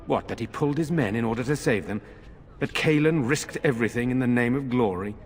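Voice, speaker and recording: An actor playing a middle-aged noble lord in a game, speaking in a measured, formal and earnest way. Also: male voice, mid-range voice, close to an everyday voice. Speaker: village lord. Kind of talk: game voice acting.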